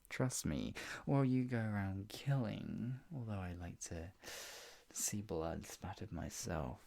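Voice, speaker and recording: A performer playing a sadistic, obsessive yandere captor in an improvised ASMR roleplay, creaky, taunting and drawn out, slipping between talk and whispers. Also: low-pitched, mellow, full character voice. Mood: sinister, relishing. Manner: taunting, drawn-out delivery with frequent giggles and whispers.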